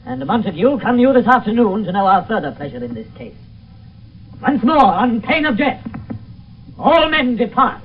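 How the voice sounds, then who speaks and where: A man's voice: high voice, big pitch swings, moderate pace. An actor playing a stern, angry prince in a radio Shakespeare play, declaiming commands to his subjects. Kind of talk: 1970s radio Shakespeare acting. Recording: dull, hissy 1972 radio recording, distant.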